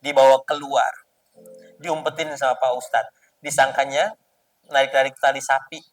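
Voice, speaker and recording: A man's voice: mid-range voice, flat delivery, normal speed, far from the mic, soft background music, poor audio. A man telling stories to children as an Islamic storyteller, teasing and explaining as he goes.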